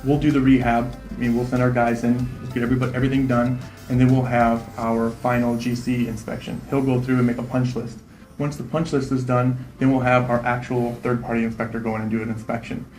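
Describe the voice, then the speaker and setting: A man in his thirties, a real estate investor, answering interview questions quickly and confidently, often tagging "right?" onto his points. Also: mid-range voice, little pitch movement, a little mellow, roomy sound, faint music behind. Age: 30s. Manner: fast, confident, repeats "right?".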